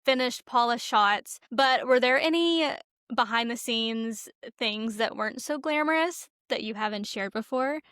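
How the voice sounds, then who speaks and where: High, crisp-voiced woman podcast host. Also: measured pace, a bit bright, dry recording, clean studio sound. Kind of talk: casual interview questioning.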